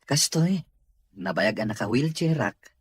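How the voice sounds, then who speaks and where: Stern convalescent boss for radio drama, played by a man; heavily raspy, mid-pitched, dry sound. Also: expressive, measured pace, no echo. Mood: friendly. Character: stern male boss recovering from paralysis. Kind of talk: Ilocano audio drama acting.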